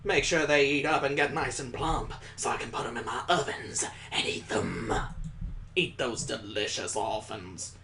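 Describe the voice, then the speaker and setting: A man's voice: medium pitch, a little slow, gravelly, bright, forceful tone, a little hiss or hum, pitched below the performer's own voice. A man voicing a jovial, folksy male baker who dotes on children, in a visual novel read-through. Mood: gleeful.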